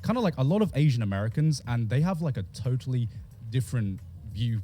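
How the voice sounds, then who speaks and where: Easygoing man, mellow voice. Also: medium pitch, very animated, fast talker, a little hiss or hum. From England. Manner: rambling, repeats words, laughs often. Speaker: streamer. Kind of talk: casual chat.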